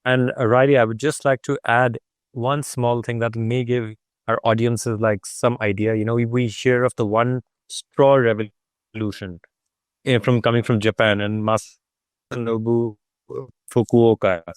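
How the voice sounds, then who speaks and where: A man's voice: mid-range voice, level tone, unhurried, clean tone, dry recording. A man co-presenting an online talk, explaining informally in an enthusiastic, discursive way over a video call. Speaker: guest co-presenter and trip guide.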